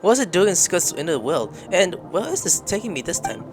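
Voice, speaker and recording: A woman doing playthrough commentary on a story game, reading dialogue aloud and reacting in a playful, expressive way. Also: on the low side, a little fast, over music. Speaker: gamer playing through a story game.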